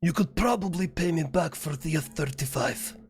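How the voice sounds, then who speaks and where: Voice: medium pitch, normal speed, close to an everyday voice. Satire role, put on by a skit performer: demanding Turkish statesman, stubborn, curt, insistent.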